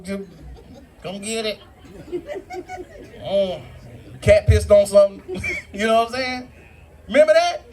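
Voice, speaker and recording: A man doing a brash, profane stand-up set in a brew pub comedy club, acting out other people in his bits. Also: high voice.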